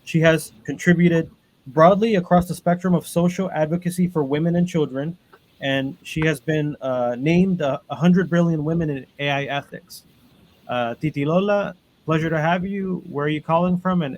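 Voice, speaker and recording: A man's voice: fairly high pitch, normal speed, darkish tone, a little hiss or hum, rough recording. A man hosting a webinar panel, reading out guest introductions over a video call with frequent ums and uhs. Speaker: panel moderator.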